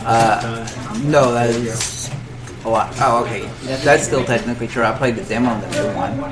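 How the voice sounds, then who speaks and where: A man's voice: mid-range voice, fairly even intonation, moderate pace, rough recording. A man hosting a podcast chat, blunt and opinionated but feeling for his words. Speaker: podcast host. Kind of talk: casual chat. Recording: loud crowd noise around the voice. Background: loud crowd chatter.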